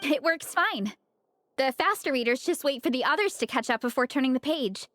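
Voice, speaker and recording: A woman's voice: high-pitched, lively intonation, a little fast, a bit bright, dry recording. An actress voicing an upbeat, sociable young woman in a video game, telling things in a breezy, friendly way. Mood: breezy, chatty. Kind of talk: English video game voice acting.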